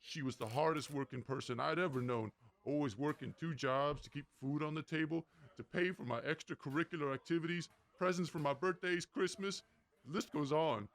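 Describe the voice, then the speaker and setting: Man playing earnest champion athlete, slightly high voice. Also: lightly acted. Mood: bittersweet gratitude. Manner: earnest, measured, sentimental. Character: humble, grieving young champion athlete giving acceptance speech. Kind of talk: dramatic acceptance speech.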